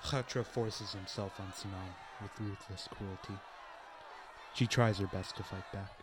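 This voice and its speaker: Guy narrating a radio drama, lowish voice, scripted, busy crowd sounds behind. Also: fairly even intonation, measured pace. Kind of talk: scripted radio play narration, present tense. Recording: noisy, crowd ambience behind.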